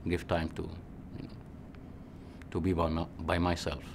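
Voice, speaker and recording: Low-voiced Egyptian man, halting. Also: monotone, background noise. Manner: unhurried, halting, with restarts and "you know". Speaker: physician. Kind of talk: casual interview answers.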